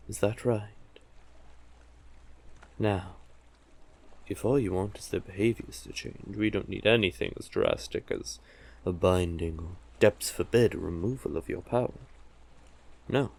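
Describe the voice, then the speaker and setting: A man voicing a cunning, monstrous sea witch who coaxes silkily in slow, theatrical endearments, in an intimate audio roleplay with a gravelly, husky voice. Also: low-pitched, a little mellow, exaggerated voice. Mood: soothing, probing.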